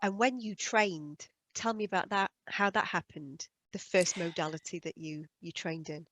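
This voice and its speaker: A woman's voice: on the low side, normal speed, fairly bright tone, no room sound. A woman hosting a video call interview, asking questions with enthusiasm and effusive praise. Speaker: host, hypnosis trainer.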